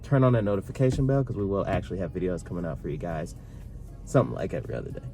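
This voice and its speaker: A man in his thirties co-hosting a casual sit-down Q&A with his partner, talking in a relaxed, rambling way. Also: low-pitched, racing, soft background music. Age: 30s. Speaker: vlog co-host.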